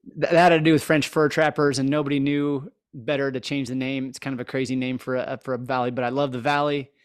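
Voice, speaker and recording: A man with an American accent chats as a podcast host in a mid-pitched voice. He is folksy and self-deprecating, working through his thoughts aloud. The sound is close and dry. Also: flat delivery, fast talker, clear, resonant tone, no room sound. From southeast Idaho, United States. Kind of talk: casual interview chat.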